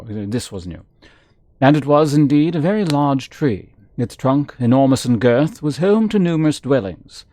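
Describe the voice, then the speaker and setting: Man, medium pitch, game streamer, informal, casual playthrough narration, muttered asides, faint background music. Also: dark tone, no room sound. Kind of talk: casual playthrough commentary and reading game text aloud.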